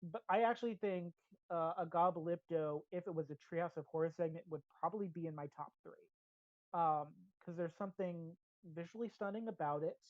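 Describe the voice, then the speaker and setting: A woman's voice: fairly low pitch, a little fast, dry recording. An American woman hosting a podcast, talking casually and giving opinionated pop-culture reviews with chatty asides. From United States. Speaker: podcast host. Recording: slightly dull, band-limited audio.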